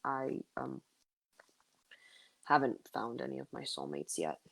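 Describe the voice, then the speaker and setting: A woman's voice: extremely low pitch, big pitch swings, measured pace, creaky, no room sound, heavily acted, rough recording. A woman voicing a guarded, sarcastic young man with a dry, muttering delivery in a fan fiction audio drama. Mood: reluctant, grumbling.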